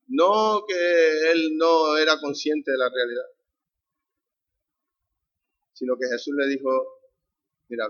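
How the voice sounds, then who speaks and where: Canarian man preaching, bright voice. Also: on the high side. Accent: Canarian Spanish. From Canary Islands. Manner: conversational, asks the congregation questions. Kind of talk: sermon with a family anecdote. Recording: dull lo-fi recording.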